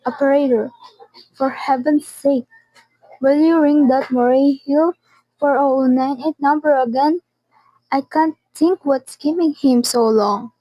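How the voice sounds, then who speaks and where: Girl acting out a high-pitched, fretful bedridden wife. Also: unhurried, no room sound, dull audio. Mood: impatient. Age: teenager. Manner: fretful, insistent, stammering. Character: anxious, demanding, self-pitying bedridden wife. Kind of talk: radio suspense drama acting.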